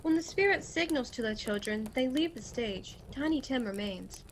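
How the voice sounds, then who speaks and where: A woman's voice: on the high side, animated, moderate pace, rough recording. A woman reading scripted stage directions as the narrator of a radio play. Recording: faint music, home video-call recording.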